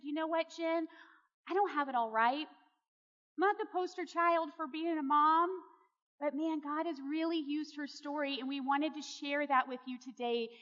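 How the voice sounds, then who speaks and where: A woman's voice: high-pitched, a bit bright. An earnest, encouraging woman speaking as a host, introducing and interviewing a friend before an audience at a church event. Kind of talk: warm-up address and guided testimony interview to an audience. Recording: muffled, echoey large hall.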